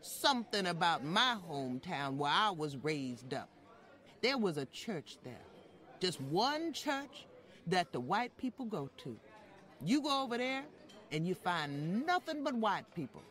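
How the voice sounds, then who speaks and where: A woman's voice: fairly low pitch, sing-song, unhurried, a bit bright. A woman playing an older Southern housekeeper and grandmother, plain-spoken and wry, in an old-time radio style drama. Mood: indignant, resolute. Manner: wry, chuckling, deferential but firm.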